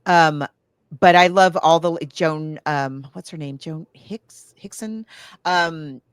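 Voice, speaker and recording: A woman's voice: low voice, slow, clean tone, dry recording, clean studio sound. A woman co-hosting a podcast, chatting casually and with gushing enthusiasm about films and books. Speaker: podcast co-host. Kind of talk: casual film and book discussion.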